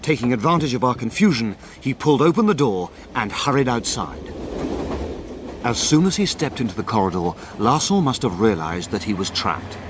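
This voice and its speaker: A man acts as a detective's loyal friend recounting an adventure in a radio drama. He is grave and measured yet widely varied in pitch, over clearly noisy ambience. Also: sing-song, natural voice. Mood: tense. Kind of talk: scripted first-person drama narration. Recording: clear background noise, rumbling ambience.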